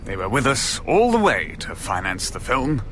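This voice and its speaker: Comedian guy reminiscing in radio drama, lively crisp bright voice, bit of noise behind. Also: on the low side, normal speed, heavily acted. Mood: wry, reminiscing. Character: male comedy troupe member recalling film-making.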